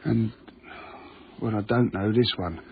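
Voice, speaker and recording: A calm, slightly odd tunnel worker, earnest and plain-spoken, in a radio play. The sound is thin and phone-like, with a clear layer of noise. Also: male voice, fairly low pitch, unhurried. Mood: uneasy, insistent. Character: calm, odd tunnel maintenance worker, fond of dark places. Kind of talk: naturalistic radio drama acting. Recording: tinny, noisy radio drama broadcast copy.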